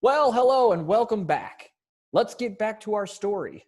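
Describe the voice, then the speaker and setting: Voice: mid-range voice, sing-song, measured pace, a little mellow, voice pushed up. A performer playing a playful, emphatic radio mystery announcer, singing out musical stings and sound effects. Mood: upbeat. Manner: theatrical, sing-song, emphatic.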